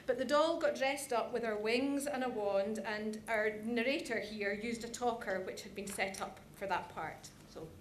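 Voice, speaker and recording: A woman's voice: fairly high pitch, moderate pace, hardly any pauses. A woman giving an informal conference presentation, recounting how a show was put on. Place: conference hall. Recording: echoey room, faint background noise.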